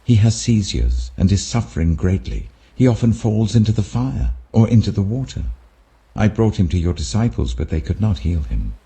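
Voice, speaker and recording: A man's voice: lowish voice, big pitch swings, normal speed, mellow, slightly rough, pitched above the performer's own voice, heavily acted. A British man voicing a desperate father who implores for mercy. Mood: pleading.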